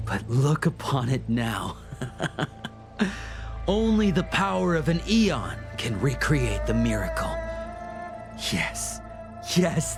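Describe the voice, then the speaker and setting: An actor playing a fanatical, unhinged cultist in a video game, gloating theatrically and savoring his words. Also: mid-range voice. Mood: fervent awe. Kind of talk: video game character acting. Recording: music behind.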